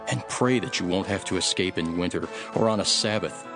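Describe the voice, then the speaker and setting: A man reading scripture as a solemn, dramatic narration, measured and earnest, with deliberate phrasing. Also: deep voice. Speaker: Bible narrator. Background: background music.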